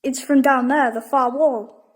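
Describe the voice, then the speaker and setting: A woman's voice: high voice, steady tone, measured pace. A woman playing a naughty, curious young girl in a Victorian audio drama, talking in short playful retorts.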